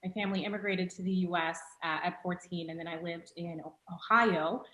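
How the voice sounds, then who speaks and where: A woman's voice: fairly low pitch, fairly even intonation, brisk, pushed and bright. A woman from Kuwait introducing herself conversationally as a panelist. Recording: echoey room sound.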